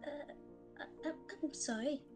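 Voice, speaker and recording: A woman plays a timid young boy demigod in an audio drama. The voice is high and childlike, anxious and hesitant, stammering over words. Faint music sits underneath. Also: unhurried. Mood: nervous, apologetic.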